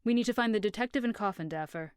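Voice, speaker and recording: A woman's voice: mid-range voice, fast, smooth, no room sound, close to an everyday voice. A woman plays a young female henchwoman in a crime audio drama. She is serious and guarded, with terse delivery. The sound is dry and close.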